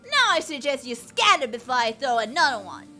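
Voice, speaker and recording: A woman doing a gruff, loud old grandma. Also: high voice, big pitch swings, brisk, soft background music, pitched above the performer's own voice, exaggerated voice. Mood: angry scolding. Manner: loud, rough, scolding. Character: fierce gruff old grandmother, small but tough.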